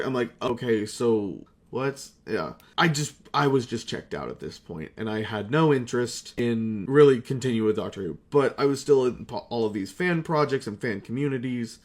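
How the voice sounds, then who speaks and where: A man speaks at mid pitch in a loose, confessional ramble, like a casual vlog. He is candid and self-deprecating, and swears freely as he reflects. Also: darkish tone. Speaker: voice actor reflecting on hobby career.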